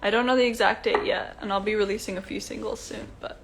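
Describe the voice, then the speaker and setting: A young woman from Iceland chatting casually on a livestream, soft-spoken and rambling, talking into a phone. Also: medium pitch, fairly even intonation, quick pace, background noise. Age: young adult. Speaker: singer-songwriter on a livestream. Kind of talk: casual chat to viewers.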